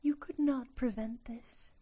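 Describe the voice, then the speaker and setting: Magical female sylph, acted by a woman: very high, mellow, smooth and soft, serenely loving as she dies, radio play. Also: fairly even intonation, unhurried. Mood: serene, sorrowful. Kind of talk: audio drama acting.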